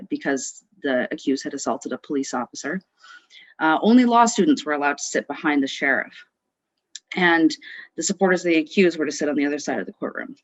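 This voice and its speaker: A woman's voice: deep voice, no room sound, muffled recording. A woman in her twenties telling a personal story informally, hesitant and full of ums. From Canada. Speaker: law student.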